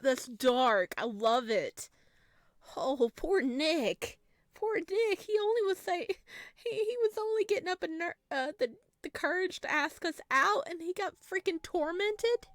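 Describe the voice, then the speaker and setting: A woman's voice: high-pitched, no echo, studio quality. A woman hosting a gaming video, reading aloud and reacting expressively in a casual way. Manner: expressive, exclamatory. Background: soft game music.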